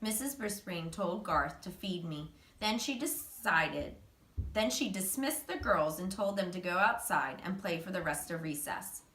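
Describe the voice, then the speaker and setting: Female storybook reader, animated and emphatic, bright tone, slightly distant from the mic. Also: on the low side, a little slow. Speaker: teacher reading a children's chapter book aloud. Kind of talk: expressive storybook narration. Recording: roomy echo, distant microphone.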